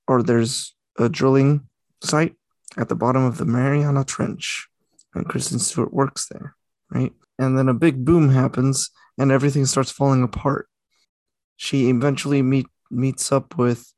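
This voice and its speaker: A man's voice: mid-range voice, fairly even intonation, a little slow, darkish tone, no room sound. A young man co-hosting a casual podcast, rambling and correcting himself as he talks. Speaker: podcast co-host. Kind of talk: casual chat.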